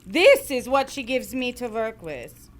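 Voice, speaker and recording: A tough, mocking little boss from Hell acted by a woman, high in pitch and unhurried in delivery. She is brash and condescending, in audio comedy style. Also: big pitch swings, faint music behind. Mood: mocking, scornful. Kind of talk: audio drama comedy acting.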